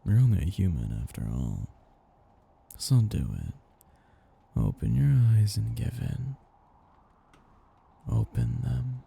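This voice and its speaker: A man voicing a seductive, possessive vampire master in an ASMR roleplay, breathy and gravelly, speaking in a slow, gently domineering, teasing way. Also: on the low side, expressive, full character voice. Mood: hypnotic, commanding. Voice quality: breathy, gravelly, often dropping into whispers. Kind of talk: ASMR roleplay, intimate scripted monologue.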